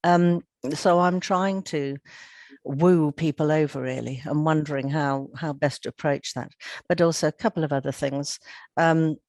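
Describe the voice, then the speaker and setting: Croaky older woman, very low voice. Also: steady tone, moderate pace, a little mellow, no echo, studio quality. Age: 60s. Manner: chatty, laughs easily. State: slightly croaky, recovering from illness. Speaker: workshop participant. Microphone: video call.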